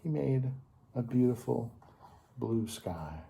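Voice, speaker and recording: A man reading a picture book aloud to children as a storyteller, expressively and with dramatic pauses. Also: medium pitch, expressive, gravelly, mellow, slight background noise.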